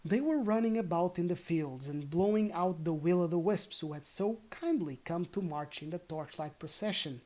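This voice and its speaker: Informal fairy-tale narrator with a medium-pitched voice. Playful, self-correcting, chatty between passages. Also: normal speed, hardly any pauses, mellow. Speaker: storyteller reading aloud.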